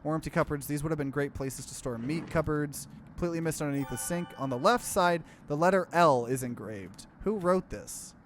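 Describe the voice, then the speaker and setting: A man's voice: a little high, a little fast, few pauses, faint music behind. A man hosting a let's play, reading visual novel narration aloud and adding casual commentary. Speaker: gaming let's play host.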